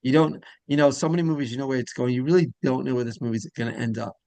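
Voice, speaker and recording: Gravelly-voiced man hosting a casual interview. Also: mid-range voice, fairly even intonation, quick pace, a little mellow, dry recording. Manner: rambling, repetitive phrasing, trails off mid-thought. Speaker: interviewer and show host.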